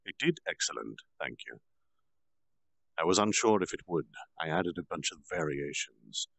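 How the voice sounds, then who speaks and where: A man reading a novel aloud on a stream, earnest, with quick apologetic asides. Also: sits low, very animated, creaky, a bit bright, no room sound. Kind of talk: casual storytime reading.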